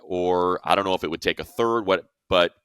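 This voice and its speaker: A man's voice: sits low, a little fast, fairly bright tone, smooth, dry recording, clean studio sound. An American man giving casual sports analysis as a co-host, thinking aloud conversationally and checking in as he goes.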